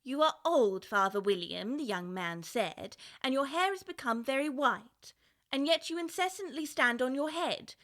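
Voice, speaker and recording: A woman's voice: high voice, animated, normal speed, a bit bright. A woman playing a polite, timid and puzzled little girl, hesitant and easily flustered, in a full-cast audiobook. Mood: reciting.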